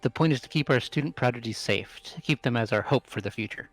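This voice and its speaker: A man's voice: mid-range voice, fast, natural voice. A man playing a solemn, resolute school headmaster delivering grave lines in a video game readthrough. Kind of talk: amateur game voice acting.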